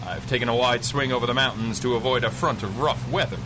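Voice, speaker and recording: An actor playing a smooth-talking, daring pilot adventurer in a radio drama, wry, courteous and coolly ruthless. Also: male voice, mid-range voice, fast, noisy background, natural voice. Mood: smooth, reassuring. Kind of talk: 1930s-set radio adventure acting.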